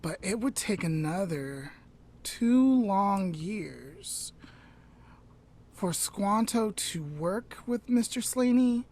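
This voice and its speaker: Woman retelling a story on a podcast, slow, lowish voice, pauses for drama. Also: animated, darkish tone. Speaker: podcast host telling a story. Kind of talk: storytelling retold aloud, informal.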